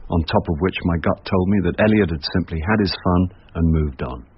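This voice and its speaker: An actor playing a wry, world-weary older sleuth in a radio drama, with an English accent and a dry, deadpan delivery. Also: male voice, deep voice, fairly quick, lightly acted. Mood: dry narration. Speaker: narrator and lead character. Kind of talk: radio drama acting with first-person narration. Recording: muffled, noisy lo-fi radio drama recording.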